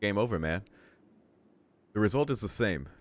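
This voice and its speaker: A man hosting a visual novel read-along, switching between narrating the text aloud and casual, halting reaction commentary. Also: on the low side, lively intonation. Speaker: let's-play host reading a visual novel aloud and reacting.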